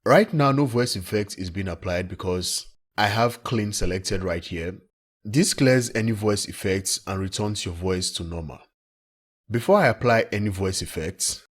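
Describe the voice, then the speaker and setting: A man presenting a software tutorial in a plain, instructional way, recorded as a screen-recording voice-over. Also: lowish voice, lively intonation, measured pace. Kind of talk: step-by-step software tutorial narration.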